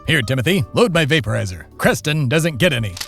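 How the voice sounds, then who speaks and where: Man acting as ancient stoner host, pompous, thick-tongued, higher-pitched, swooping intonation, comedy podcast. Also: very animated, a little fast, vocal fry, fairly bright tone, faint music behind, full character voice. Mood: stern, irritable. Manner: pompous, formal, foul-mouthed. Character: pompous centuries-old stoner host, grandly formal and irritable. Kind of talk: comedy audio drama hosting.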